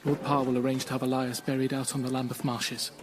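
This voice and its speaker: An actor playing a firm, secretive, pious Tudor court official in a radio drama. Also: male voice, medium pitch, little pitch movement, quick pace, a little hiss or hum, natural voice. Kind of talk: BBC radio drama acting.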